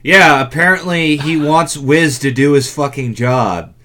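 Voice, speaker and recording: A man's voice: fairly high pitch, measured pace, bright, forceful tone. A man hosting a gaming podcast in casual chat, with a wry, stop-and-start delivery. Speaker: podcast host.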